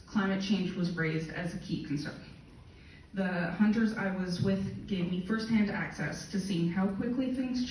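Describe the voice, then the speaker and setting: A woman reading a prepared conference talk in a measured, formal way. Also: fairly low pitch, a little mellow, a little hiss or hum. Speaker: conference speaker. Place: conference hall. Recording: very echoey hall from far off.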